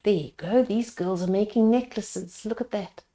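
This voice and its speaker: A woman reading a children's picture book aloud as a storyteller, pausing to explain the pictures to young listeners. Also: medium pitch, mellow. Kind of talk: read-aloud storytime narration with asides to children.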